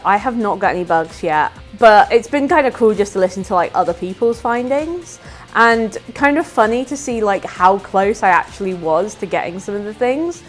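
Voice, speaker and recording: A woman's voice: mid-range voice, lively intonation, normal speed. A woman bug bounty hunter chatting casually, with lots of "like" fillers. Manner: friendly, chatty, many "like" fillers. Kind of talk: casual interview answers. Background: faint music.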